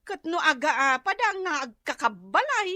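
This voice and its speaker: A woman's voice: high-pitched, big pitch swings, normal speed, pushed and bright, dry recording, exaggerated voice. A woman with an Ilocano accent voicing a nosy, gossipy neighbour in a comedy radio drama. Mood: amused, gossipy. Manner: gossipy, exclamatory.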